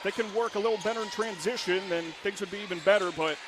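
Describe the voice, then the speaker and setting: A man calling live high school basketball play-by-play for radio from a gym, with quick, excited calls that rise to shouts on big plays. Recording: radio broadcast with loud gym noise.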